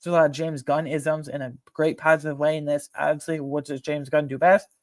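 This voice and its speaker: A man's voice: on the high side, level tone, dry recording. Casual, emphatic man in a dry room. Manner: informal, halting, emphatic fan talk with slang. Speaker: video host ranking films. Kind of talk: casual opinionated film ranking commentary.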